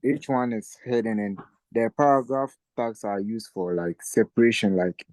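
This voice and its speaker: A man's voice: fairly low pitch, fairly even intonation, moderate pace, darkish tone, poor audio. A man with a Ghanaian accent, a student tentatively answering a question in an online class.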